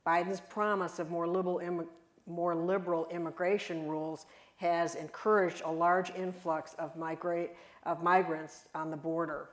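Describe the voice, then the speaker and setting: A woman's voice: on the low side, level tone, normal speed. An older woman narrating a play, reading out scene settings and stage directions. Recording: echoey room.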